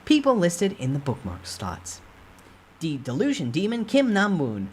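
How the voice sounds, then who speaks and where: A man voicing an impersonal game system that reads out notifications in a crisp, formal way for a storytime reading. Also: sits high, huge pitch swings, a little slow, a little hiss or hum, pitched above the performer's own voice, heavily acted. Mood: formal announcing.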